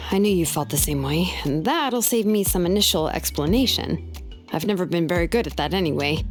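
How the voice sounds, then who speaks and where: A woman's voice: fairly low pitch, animated, moderate pace, soft background music, close to an everyday voice. A woman playing a laid-back, confident young craftswoman in a video game, speaking in a casual, matter-of-fact way. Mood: serious, casual. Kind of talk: video game character acting.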